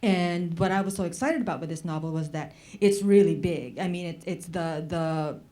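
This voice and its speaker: A woman's voice: on the low side, normal speed, dark tone. A woman moderating an informal literary conversation, digressive and emphatic, restating her phrases as she works toward a question. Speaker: panel moderator, writer.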